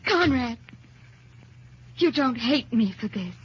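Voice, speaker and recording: An actress playing a very young wife, earnest and pleading, in the theatrical manner of old-time radio melodrama. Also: female voice, fairly high pitch, expressive, slow, noisy background. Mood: distressed. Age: young adult. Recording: dull old radio recording.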